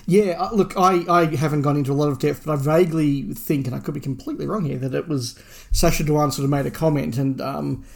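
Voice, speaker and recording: A man's voice: a little high, fairly even intonation, fast, vocal fry, a little mellow. A man co-hosting a podcast, talking casually and musingly through fan news. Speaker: podcast co-host. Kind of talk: casual discussion of Doctor Who fandom news.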